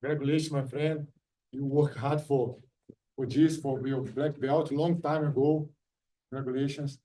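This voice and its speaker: Very dark-voiced man with halting English. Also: medium pitch, steady tone, a little hiss or hum. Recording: speaking from far off.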